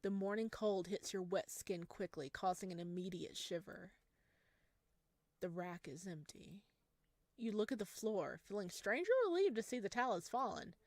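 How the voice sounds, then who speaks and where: A woman's voice: medium pitch, lively intonation, dry recording. A woman reading a visual novel as a solemn, suspenseful narrator of a creepy horror story. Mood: uneasy, suspenseful. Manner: slow, hushed, suspenseful. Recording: music behind the voice.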